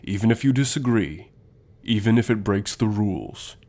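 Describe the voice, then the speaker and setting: A man's voice: fairly low pitch, lively intonation, normal speed, a little mellow. A man playing a stern, secretive academy professor with a formal, authoritative manner in an audio roleplay. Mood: stern, commanding.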